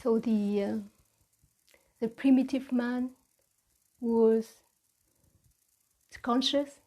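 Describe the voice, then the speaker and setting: A woman with a Vietnamese accent giving a reflective mindfulness talk as a meditation teacher, with halting, deliberate phrasing. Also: fairly high pitch, warm tone, rough recording.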